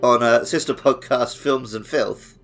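A man's voice: mid-range voice, fairly even intonation, unhurried, a bit bright. A man co-hosting a gaming podcast in a casual, conversational way. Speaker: podcast host. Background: music.

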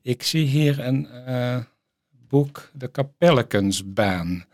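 A man's voice: mid-range voice, expressive, slow, no echo, studio quality. A man interviewing a guest about books in a conversational style.

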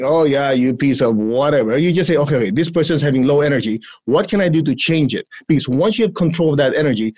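A man with a Chinese accent talks listeners through a relaxed energy exercise. His voice sits fairly high and sounds husky. He keeps it playful and often breaks into laughter. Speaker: energy healing teacher. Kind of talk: guided exercise instruction in a podcast conversation.

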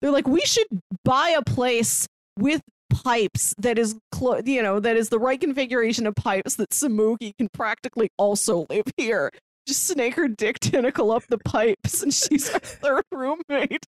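A woman's voice: on the high side, moderate pace, dry recording. Casual woman podcaster with a dry, close sound. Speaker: podcast co-host. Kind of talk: casual book discussion chat.

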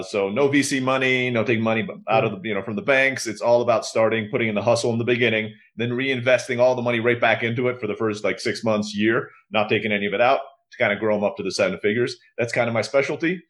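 A man's voice: mid-range voice, fairly bright tone. Fluent, casual male podcast guest. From grew up in Istanbul, Turkey. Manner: fluent, informal, self-deprecating asides. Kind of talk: casual interview talk, explanatory.

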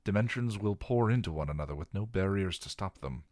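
A grave older scholar explains in measured, lecturing lines, pitched a little above the reader's own deep voice. A man plays him, recorded close and dry, with lively inflection. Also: no room sound.

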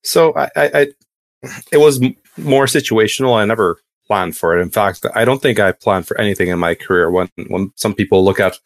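A man in his thirties from Hungary, a podcast guest telling his story casually and haltingly, often restarting sentences. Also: fairly low pitch, no echo.

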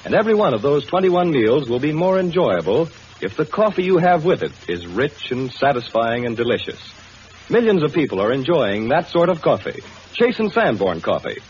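A man's voice: a little high, big pitch swings, normal speed. A man announcing a scripted radio advertisement in 1930s style. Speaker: commercial announcer. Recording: muffled, faintly hissy 1930s radio recording.

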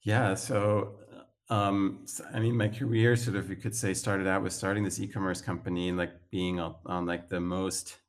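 A man's voice: deep voice, level tone, normal speed. A man from Switzerland with a Swiss accent, a startup founder talking as a guest in a casual interview, hesitant with many fillers and restarts.

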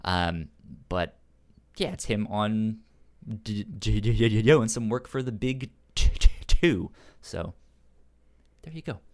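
A man co-hosting a casual comics discussion, stuttering on sounds and syllables in a halting, informal way. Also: fairly low pitch. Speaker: podcast co-host reviewing comics.

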